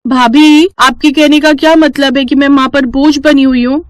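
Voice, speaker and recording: Woman as stubborn cartoon bride-to-be, young and combative, pitched very high, whiny and indignant. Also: fairly even intonation, moderate pace, dry recording, studio quality, close to an everyday voice. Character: hot-tempered, stubborn, heavyset young bride-to-be.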